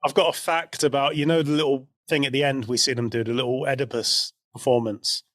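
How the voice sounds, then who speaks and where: A man's voice: medium pitch, little pitch movement, fairly quick, fairly bright tone, no room sound. British man chatting, dry close recording. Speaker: podcast co-host. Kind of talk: casual chat.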